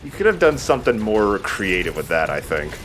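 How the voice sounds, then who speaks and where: A man's voice: fairly low pitch, very animated, a little fast, a bit bright, a little hiss or hum. A man giving casual gameplay commentary as a let's play host, with jokey, sweary banter. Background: video game sound.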